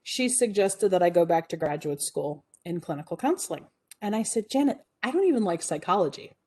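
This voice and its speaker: Woman, 40s, guest speaker: lowish, mellow voice, earnest and reflective storytelling. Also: big pitch swings, a little fast, husky. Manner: earnest, reflective, with frequent fillers and restarts. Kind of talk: personal storytelling talk.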